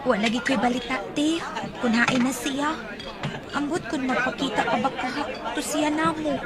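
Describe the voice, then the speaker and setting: A woman playing an earnest high-voiced Cebuano teenage girl. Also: fairly even intonation, moderate pace, a loud crowd, close to an everyday voice. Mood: plaintive, resigned. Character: earnest, naive teenage girl working as shop seller. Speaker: radio drama actress. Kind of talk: Bisaya radio drama acting.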